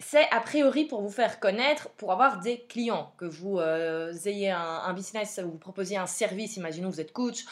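A young woman with a Belgian French accent hosting a podcast, chatty and frank with plenty of fillers. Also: medium pitch. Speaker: podcast host. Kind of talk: casual advice podcast.

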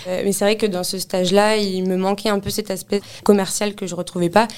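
A woman's voice: mid-range voice, a little fast, smooth. Young woman chatting about her job. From Paris, France. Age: 20s. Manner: relaxed, conversational, frequent "euh" fillers. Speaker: office real estate consultant, guest. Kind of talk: podcast interview answers.

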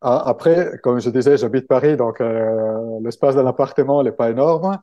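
A man's voice: medium pitch, moderate pace, no room sound, highs cut off. An Italian man speaking French as a second language with an Italian accent, talking through an interview with many fillers and restarts. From Italy. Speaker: guest, book-summary creator. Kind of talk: casual interview conversation.

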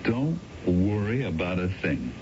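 A man's voice: low voice, big pitch swings, measured pace. An actor playing a greedy, eager American con man in 1970s radio drama style. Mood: scheming, reassuring. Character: eager male con artist, greedy, coaching his accomplice. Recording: muffled old radio broadcast, faint hiss.

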